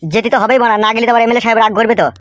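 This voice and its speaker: Lady doing cocky impatient village son cartoon, highish voice, snappy crude talk. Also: very fast, muffled recording, natural voice. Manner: brusque, threatening. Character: impatient, foul-mouthed young village son. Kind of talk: comic cartoon dialogue.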